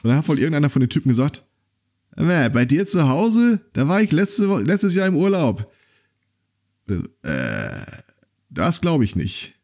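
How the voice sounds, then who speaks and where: A man's voice: on the high side, wildly swooping pitch, unhurried, a little mellow. A man hosting a casual podcast chat, telling stories loosely with many fillers and restarts. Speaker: podcast host.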